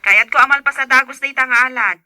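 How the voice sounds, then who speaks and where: A woman's voice: high-pitched, quick pace, bright, forceful tone, no room sound, clean studio sound. A woman playing an insistent, complaining wife in a radio drama. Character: nagging, complaining wife checking on fence work. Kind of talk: Ilocano radio drama acting.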